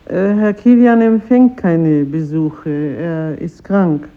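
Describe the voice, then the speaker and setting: An actress playing a protective, anxious village aunt in a 1950s radio drama, guarded and hesitant. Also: female voice, low-pitched, takes it slowly. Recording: tinny, roomy, noisy old radio recording.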